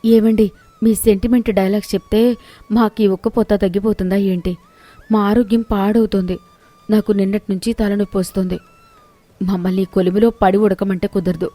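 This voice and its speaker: A woman's voice: mid-range voice, little pitch movement, normal speed, warm tone, no echo, natural voice. A woman voicing a spoiled, stubborn young city-bred wife in a moral tale, complaining and demanding. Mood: defiant, demanding.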